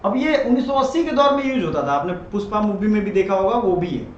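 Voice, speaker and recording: A man's voice: high voice, animated, quick pace. A man gives an informal explanatory lecture as a teacher, speaking colloquially and often checking that listeners follow. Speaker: teacher explaining current affairs. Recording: dull, noisy, echoey room recording.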